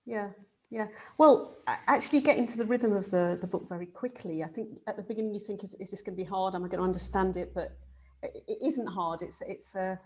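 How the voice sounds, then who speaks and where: Woman, interviewer, middle pitch, hesitant, many fillers, video call. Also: fairly quick, darkish tone, a little hiss or hum. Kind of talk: interview questions.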